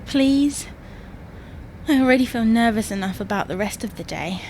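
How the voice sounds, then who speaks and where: High-voiced, brisk British wife, played by an actress. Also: female voice, lively intonation, a little mellow. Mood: anxious, reasoning. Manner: nagging, brisk, insistent. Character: exasperated, bossy wife navigating for her husband. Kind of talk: domestic comedy audio drama. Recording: noisy, car ambience.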